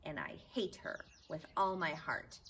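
A woman reads as a proud, blustery man with a toy schooner in a children's story. He is emphatic and bossy, with very animated intonation. Faint outdoor noise sits behind the voice. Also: sits low, measured pace. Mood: indignant. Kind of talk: children's storybook read-aloud. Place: outdoors in a backyard garden.

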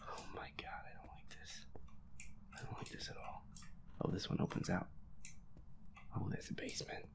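A man muttering feisty, sweary commentary to himself as he plays a horror game, in a breathy voice. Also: moderate pace, long pauses, whispered. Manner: feisty, grumbling, swears constantly. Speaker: gamer playing a horror game as audio roleplay character. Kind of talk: hushed gaming commentary, muttering to self.

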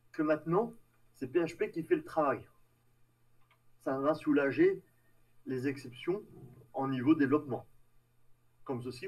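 A man giving a live technical presentation in a halting, hesitant way, trailing off between phrases. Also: a little high, darkish tone, muffled recording. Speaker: tech meetup presenter. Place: online video meeting with screen share. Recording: distant mic on online call.

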